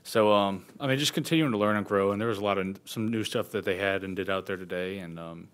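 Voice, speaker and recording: Young male college quarterback at a press conference, deep voice. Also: fast. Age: 20s. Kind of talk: postgame press conference answers. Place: press conference table indoors.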